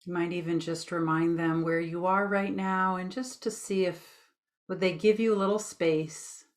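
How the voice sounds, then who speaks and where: A woman with a slightly low voice guides listeners through a meditation. She gives gentle, soothing instructions in plain, informal language, and she takes her time between phrases. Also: steady tone, moderate pace.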